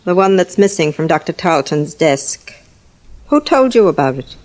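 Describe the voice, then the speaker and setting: An actress playing a secretive, suspicious nurse in an old-time radio thriller, speaking in an intense, pointed, conspiratorial way. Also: female voice, on the low side, very animated, normal speed, darkish tone. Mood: accusing.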